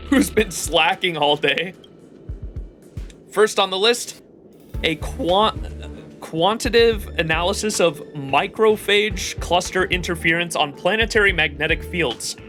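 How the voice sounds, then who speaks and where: A man live-streaming a game, reading its text aloud in a dramatized way and adding commentary. His voice is bright and forceful and sits a bit high. Soft music plays underneath.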